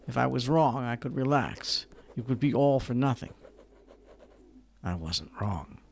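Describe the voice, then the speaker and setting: Man doing a haunted, fearful narrator, gravelly, uneasy confession, radio play. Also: medium pitch, lively intonation, a little slow, slight background noise. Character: haunted man recounting a dread secret. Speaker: voice actor.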